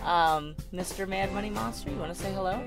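A woman's voice: mid-range voice, brisk, creaky, music in the background. A middle-aged woman co-hosting a podcast, rambling through a personal story with many fillers and restarts and frequent laughter. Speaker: podcast co-host. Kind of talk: casual storytelling chat.

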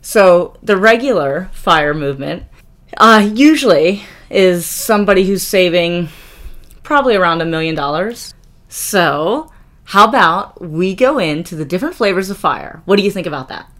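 A woman's voice: fairly low pitch, lively intonation, normal speed, fairly bright tone. A woman co-hosting a podcast in casual chat, explaining things patiently and laughing often. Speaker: podcast co-host.

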